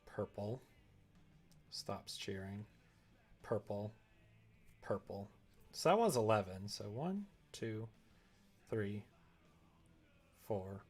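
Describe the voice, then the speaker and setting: A man's voice: fairly low pitch, very animated, very slow, pauses often, a little mellow, husky, faint music behind. A man livestreaming casually as he works, chatting and muttering to himself while he concentrates. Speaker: live streamer. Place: indoors at a computer.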